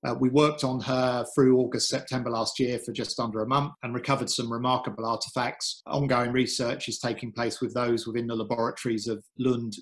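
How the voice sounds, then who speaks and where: A man's voice: mid-range voice, fairly bright tone. A man answering interview questions on a podcast, thoughtful and a little hesitant, restarting his phrases as he thinks. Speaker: podcast guest, cave and wreck diver.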